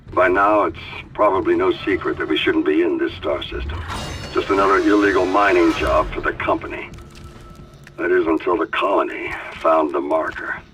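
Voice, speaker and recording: An actor playing a solemn, devout starship captain recording a grave, self-justifying personal log in a video game. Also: male voice, deep voice, natural voice. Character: solemn devout starship captain, male, defending his secret mission. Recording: noisy radio-like log recording, distant.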